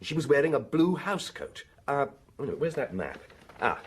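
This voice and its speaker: A man playing an eager young police inspector in a radio drama, raspy-voiced, keen and a little hesitant as he reports. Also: fairly high pitch, big pitch swings, normal speed, a little hiss or hum. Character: eager, chirpy, health-conscious junior male police inspector.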